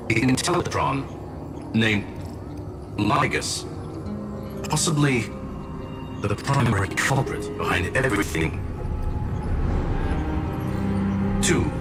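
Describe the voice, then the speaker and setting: An actor playing a calm, courteous robot scholar in a game, speaking in a formal, precise, measured way. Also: male voice, medium pitch. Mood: urgent. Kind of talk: scripted game story dialogue. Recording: loud music under voice.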